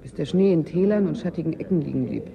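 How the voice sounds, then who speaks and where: An actress playing an eager, frank young climatologist and new assistant in a radio play, earnest and articulate. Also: female voice, low voice, lively intonation, quick pace, highs cut off. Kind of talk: German radio play dialogue. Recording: radio play with clear background noise.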